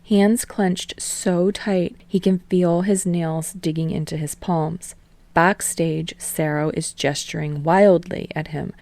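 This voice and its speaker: A woman narrates fan fiction as an audiobook, in a steady, storytelling manner. Her voice sits low with a gravelly, fry-touched texture. The recording is close and dry. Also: dry recording. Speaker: podfic reader.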